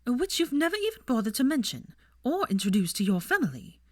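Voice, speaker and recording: A woman's voice: fairly high pitch, big pitch swings, fast, dry recording, clean studio sound, pitched above the performer's own voice. A woman voicing a haughty, disapproving aristocratic mother, curt and formal, in an audiobook story. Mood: cool disapproval. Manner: curt, formal, clipped disdain. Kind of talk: audiobook character voice.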